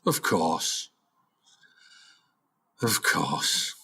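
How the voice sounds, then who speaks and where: A man's voice: deep voice, lively intonation, drawn-out words, vocal fry, sharp tone, exaggerated voice. A man playing an older, grandiose and deluded father, a failed jazz trumpeter, in a comic radio play, rambling theatrically and repeating himself. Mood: feverishly hopeful.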